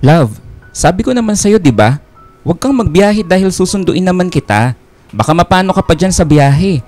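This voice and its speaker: A man playing a smooth-talking, possessive young boyfriend with a Filipino accent in a radio drama, persuasive and self-assured. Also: high-pitched, sing-song, normal speed, darkish tone. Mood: insistent, guilt-tripping. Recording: music bed behind the voice.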